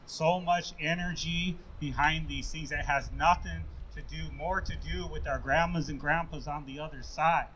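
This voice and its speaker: An American man giving an impassioned speech at an outdoor rally, speaking in a halting, earnest way and leaning on repeated phrases. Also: fairly high pitch, steady tone, fairly bright tone, a little hiss or hum, low-quality recording. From Yankton Sioux Nation, Sioux City, Iowa, United States. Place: outdoors at a downtown courthouse rally.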